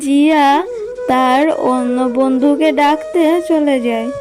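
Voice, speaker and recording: A woman's voice: high voice, steady tone, takes it slowly. A woman narrating a children's cartoon story in a scripted storybook style. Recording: music behind the voice.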